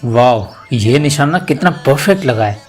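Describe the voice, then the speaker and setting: A man's voice: mid-range voice, animated, normal speed, music in the background, natural voice. A man playing an adventurous young male university student in an amateur audio drama, speaking in an earnest, exclamatory way. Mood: eager, curious.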